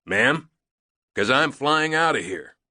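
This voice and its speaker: A male narrator playing a glum, gruff airplane loadmaster who talks tersely. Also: on the high side, sing-song, takes it slowly, sharp tone, no room sound, clean studio sound, voice pushed up, full character voice. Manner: terse, laconic.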